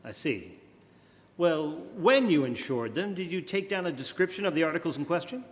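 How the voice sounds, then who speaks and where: A man playing an earnest, authoritative amateur detective in old-time radio drama style, deliberate and firm. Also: on the high side, expressive, natural voice. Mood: probing, composed. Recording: live stage, reverberant church hall.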